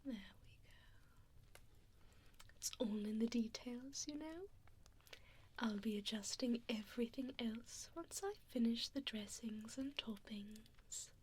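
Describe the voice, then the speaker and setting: A woman playing an evil, condescending female vampire in an ASMR roleplay, whispering breathily and close to a binaural microphone with soft, teasing menace. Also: a little high, takes it slowly, breathy edge, exaggerated voice. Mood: focused, pleased. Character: evil mean female vampire preparing a human as meal. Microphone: close binaural 3Dio microphone.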